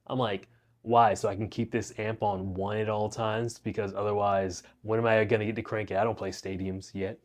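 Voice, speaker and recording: A man's voice: lowish voice, flat delivery, normal speed. Laid-back young man rambling about gear. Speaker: guitar teacher and channel host. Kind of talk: casual gear rundown. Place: home music room. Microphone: wireless clip-on mic into phone.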